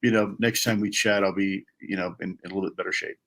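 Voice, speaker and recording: A male guest who is unwell, talking haltingly and thanking the host as he signs off. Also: low-pitched, fairly even intonation, fairly bright tone, low-quality recording. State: unwell and tired. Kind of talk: casual sign-off chat.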